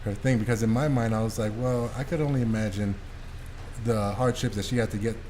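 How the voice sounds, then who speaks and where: A man's voice: lowish voice, steady tone, a little fast, a little mellow, slight background noise. A man hosting a casual podcast, talking in a streetwise, anecdotal way with some mild swearing. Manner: streetwise, mildly profane, anecdotal. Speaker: podcast host.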